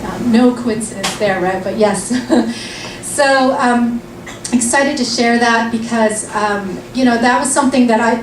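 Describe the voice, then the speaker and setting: A woman from Vancouver hosting an event and reading from her book, chatty and full of ums and restarts. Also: a little high. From Vancouver, Canada. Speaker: event host and author. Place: lounge event room, indoors. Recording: roomy lounge, mic far off, noisy.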